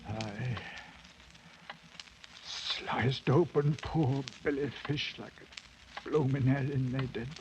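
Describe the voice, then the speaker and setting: An actor playing a loyal, rough-spoken soldier-adventurer in a 1940s style radio drama. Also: male voice, mid-range voice, slow pace, long pauses, background noise, close to an everyday voice. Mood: broken, haunted. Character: loyal rough soldier-adventurer, sidekick to a self-crowned king. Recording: muffled old radio broadcast, music underneath.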